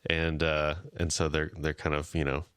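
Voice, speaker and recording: Deep, smooth-voiced man retelling scenes. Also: monotone, moderate pace, a bit bright, no echo, studio quality. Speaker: podcast co-host. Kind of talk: casual chat.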